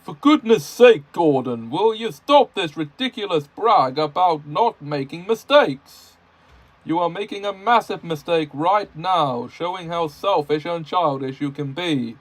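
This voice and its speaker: A man's voice: mid-range voice, takes it slowly, darkish tone, breathy edge, no room sound, rough recording. A man voicing a stern older male railway boss who gives orders and scolds.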